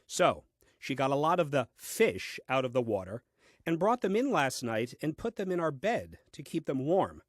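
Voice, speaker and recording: A man's voice: medium pitch, sing-song, normal speed, dry recording. An actor playing a grumbling, self-important first man reading his diary in a comic audio play, with dry, fussy delivery. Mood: exasperated.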